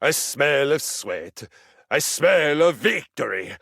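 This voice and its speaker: Game role: boastful battle-crazed Viking, slow, raspy and booming. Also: male voice, sing-song, no room sound, clean studio sound, full character voice. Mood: proud. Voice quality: gravelly, booming. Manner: swaggering, theatrical, shouting bursts. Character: boastful brawny Viking warrior man, battle-hungry. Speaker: voice actor. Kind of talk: video game voice acting. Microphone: close studio mic.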